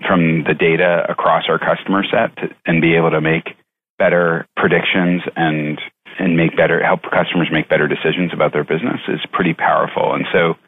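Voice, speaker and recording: A man answering interview questions as a company executive, speaking conversationally in measured, halting phrases with repeats, over a tinny phone-like connection. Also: very deep voice. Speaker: chief executive, interview guest.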